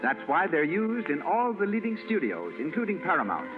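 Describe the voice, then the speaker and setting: High, animated American radio announcer man. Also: normal speed. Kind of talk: 1930s radio advertising and announcement. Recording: muffled 1930s radio recording. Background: music.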